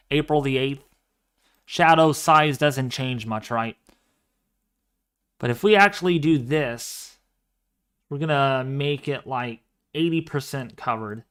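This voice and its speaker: A man in his twenties with a clear, smooth voice pitched somewhat high. He talks at an unhurried pace, thinking aloud as he clicks through an astronomy program. He often breaks into excited exclamations. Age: 20s. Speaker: livestream host. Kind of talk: casual livestream demo of astronomy software.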